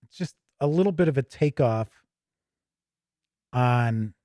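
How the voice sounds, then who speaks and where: A man's voice: mid-range voice, expressive, a little slow, mellow, dry recording, clean studio sound. An American man hosting a tabletop gaming show, reviewing casually off the cuff and thinking aloud as he rambles.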